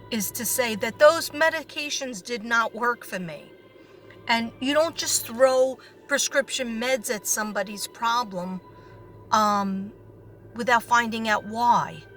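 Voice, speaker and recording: A hoarse woman rambling straight to her viewers, her talk full of fillers and false starts. Her voice is bright and a little strained, with faint music playing underneath. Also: on the high side. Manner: rambling, frequent fillers and restarts. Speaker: psychic reader. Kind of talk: casual monologue to viewers.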